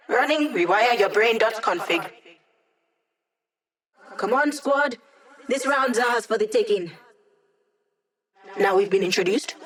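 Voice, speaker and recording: An actress voicing a sardonic young woman hacker who runs tech support for a squad, in video game voice lines with dry, deadpan humor. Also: mid-range voice, natural voice.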